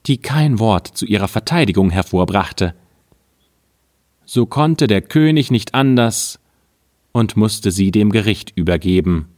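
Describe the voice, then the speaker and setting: A man reading an old fairy tale aloud in a calm, measured storytelling manner. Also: fairly low pitch, no room sound, studio quality.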